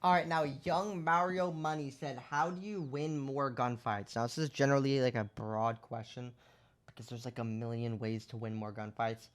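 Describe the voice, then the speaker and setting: A young man with a voice going hoarse, casually explaining shooter game tactics over gameplay and often checking in with "right?". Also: medium pitch, fairly even intonation, moderate pace. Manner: explanatory, rhetorical questions, lots of "right?". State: losing his voice. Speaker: gaming content creator. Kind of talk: casual gameplay tips commentary.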